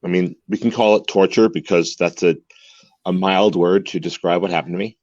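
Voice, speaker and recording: A man's voice: sits low, fairly even intonation, brisk, darkish tone, no room sound, dull audio. Man in his 40s telling his story, earnest, keeps repeating words, dry sound. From United States. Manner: earnest, repeats words while searching for them. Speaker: guest. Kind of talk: candid interview conversation. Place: home in Mexico.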